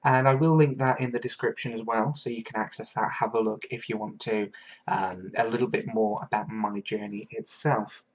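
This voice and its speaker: A man from England hosting a solo podcast, talking in an earnest, thoughtful way and pausing often with fillers. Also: lowish voice, fairly even intonation, slightly rough. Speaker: podcast host. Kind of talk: reflective solo podcast monologue.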